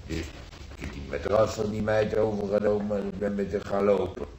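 Guy acting gruff old grandpa war vet reminiscing in a radio play, low voice, halting, roomy sound. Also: fairly even intonation, dark tone, a little hiss or hum, natural voice. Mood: nostalgic. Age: older. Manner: halting, repetitive, earthy colloquial telling. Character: old Dutch grandfather, Spanish Civil War veteran, nostalgic, gruff.

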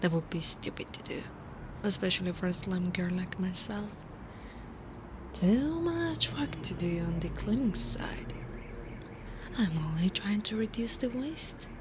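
Woman voicing a slow, breathy, flirty slime monster woman. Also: medium pitch, very animated. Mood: playful, teasing. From Italy. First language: Italian. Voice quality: breathy, often half-whispered. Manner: slow, playful, teasing. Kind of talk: intimate ASMR roleplay. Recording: clear background ambience noise.

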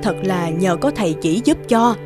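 A woman's voice: mid-range voice, expressive, moderate pace, music in the background, close to an everyday voice. A woman voicing a hearty, insistent and grateful village housewife in a folk-tale cartoon. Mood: grateful, hospitable.

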